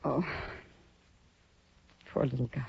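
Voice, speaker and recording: A woman's voice: a bass voice, fairly even intonation, slow pace, gravelly, slightly rough, dry recording, full character voice. A worried frontier saloon woman. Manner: urgent, worried. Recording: dry muffled 1950s radio broadcast.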